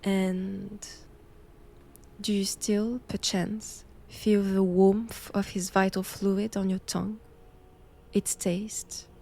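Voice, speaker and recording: A woman acts out a menacing, knowing nun captor from an old monastery tale. Her French-accented speech is very slow and measured, at a medium pitch. She teases in formal, old-fashioned phrases, intimate as a roleplay audio drama. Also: level tone, creaky, dark tone. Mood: probing, intimate.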